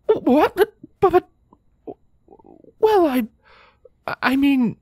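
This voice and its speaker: A woman's voice: sits high, expressive, drawn-out words, mellow, no echo, clean studio sound, full character voice. A woman voicing a tender, conflicted adult unicorn with an earnest, formal, hesitant manner, in a fan fiction drama. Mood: flustered.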